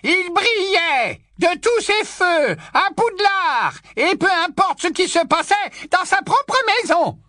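Brief: shrill, cackling ancient aunt, swooping wildly in a dry, close booth. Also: male voice, very animated, slow pace, hardly any pauses, sharp tone, no echo, heavily acted. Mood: gloating. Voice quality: shrill, high squawk, endlessly repeating "non, non, non". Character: cackling, nosy, ancient aunt, 107 years old. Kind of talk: storybook reading.

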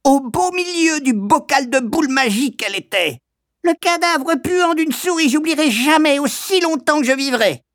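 A storybook shopkeeper woman acted by a male reader, coarse and full of spite. The voice is very high and squawking, swinging wildly as it rants and accuses. The sound is close and dry. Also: animated, moderate pace, fairly bright tone, no room sound. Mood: outraged. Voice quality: shrill, squawking. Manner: vulgar, ranting, indignant. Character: spiteful, shrill old female sweetshop keeper, coarse and accusing.

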